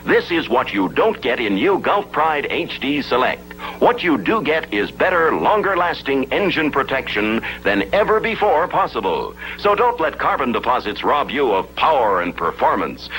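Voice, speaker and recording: A man narrating a 1950s commercial in a crisp, persuasive announcer style. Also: medium pitch, fairly bright tone, rough recording.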